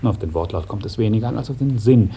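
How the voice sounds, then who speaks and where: Casting: a solemn, sly old dragon, very soft dark timbre, widely varied intonation, old radio drama with faint hiss. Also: male voice, fairly low pitch, lively intonation. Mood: stern warning. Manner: measured, formal, admonishing. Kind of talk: 1950s German radio play acting. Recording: faintly hissy 1950s radio recording.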